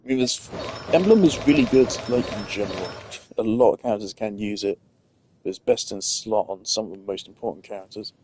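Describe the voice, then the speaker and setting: A man's voice: on the low side, a little fast, darkish tone, a little hiss or hum, rough recording. A man giving casual gameplay commentary, rambling as he thinks aloud. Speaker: gamer.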